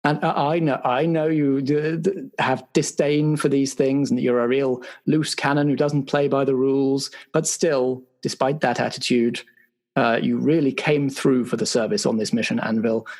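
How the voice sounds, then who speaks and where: A man's voice: medium pitch, steady tone, normal speed, a little mellow, natural voice. A man playing an awkward, apologetic intelligence agency official who stammers officiously through a debrief in an improvised roleplay show. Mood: formal, halting praise. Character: awkward, apologetic male spy agency bureaucrat giving a debrief.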